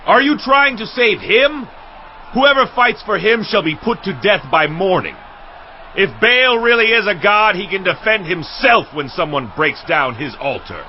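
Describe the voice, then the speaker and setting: An actor playing a defiant father facing down a hostile crowd, forceful and challenging, in an audio Bible drama. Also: sits high, very animated, measured pace, muffled recording, slight background noise.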